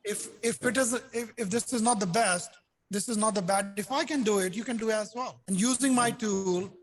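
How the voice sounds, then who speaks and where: Man, 60s, high voice, mixed Indian-French accent, eager video-call talker who restarts sentences. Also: a little fast. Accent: Indian and French blend. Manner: enthusiastic, repeats "so basically", frequent restarts. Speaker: customer and software developer. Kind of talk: casual video call chat.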